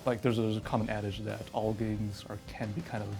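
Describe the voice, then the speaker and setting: Gravelly, flat-voiced man chatting. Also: fairly low pitch, quick pace, music in the background. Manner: loose, hedging phrasing. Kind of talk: casual interview talk.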